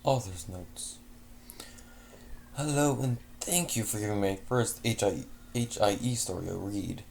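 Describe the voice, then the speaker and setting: A man reading a fanfiction story aloud in a halting way, restarting words, then chatting casually to listeners. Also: lowish voice, vocal fry, a little mellow, slight background noise. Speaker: fanfiction reader.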